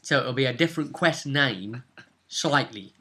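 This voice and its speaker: Male game master, bright forward voice, animated and explanatory, casual recap delivery. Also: mid-range voice, moderate pace. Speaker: game master and show host. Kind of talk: casual recap of a tabletop roleplay session.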